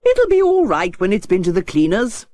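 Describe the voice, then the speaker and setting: A woman acting excitable villagers, young and old, in an exaggerated way for a children's audiobook. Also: medium pitch, normal speed, no room sound. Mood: relieved. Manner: exclamatory, exaggerated. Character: villagers in a children's story: excited children, fussy older ladies, brisk mother.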